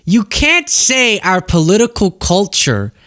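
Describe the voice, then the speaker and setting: Animated-sounding sarcastic American man, higher-pitched voice. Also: slow, smooth, dry recording, clean studio sound. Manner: sarcastic, incredulous, conversational. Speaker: political commentary show host. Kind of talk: casual reaction commentary on news clips.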